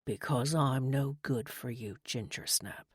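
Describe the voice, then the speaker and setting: A man playing a smug, brooding, seductive military love interest in a romance audiobook, with an intimate, low-key delivery. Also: measured pace, slightly rough, no echo, clean studio sound. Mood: earnest, brooding.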